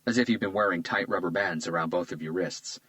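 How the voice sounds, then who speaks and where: A man's voice: sits low, fast talker, a bit bright, no echo. A male narrator voicing an observant, understated detective who talks in a modest, matter-of-fact way.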